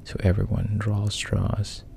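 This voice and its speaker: A man casually retelling a story in a hushed, halting way, often dropping to a whisper, with a gravelly, breathy voice. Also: lowish voice, monotone, slight background noise.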